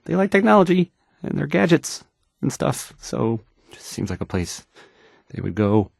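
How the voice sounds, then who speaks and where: An actor playing a nervous, bumbling, insecure male radio DJ in a video game, with a halting, stammering, unsure delivery. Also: mid-range voice, sing-song, vocal fry, dry recording, studio quality, full character voice. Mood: awkward, unsure. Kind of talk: in-character radio news broadcast, video game voice acting.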